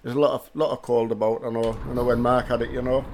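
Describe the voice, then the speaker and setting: Older man, hoarse with a cold. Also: medium pitch, flat delivery, fast talker, a little mellow, a little hiss or hum. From north of England. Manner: chatty, greets viewers by name. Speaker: game streamer. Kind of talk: casual live-stream chat. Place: home gaming room with driving rig. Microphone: headset.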